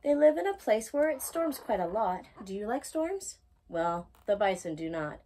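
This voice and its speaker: A woman reading a rhyming children's picture book aloud as a storytime host, with expressive, singsong emphasis. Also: mid-range voice, normal speed.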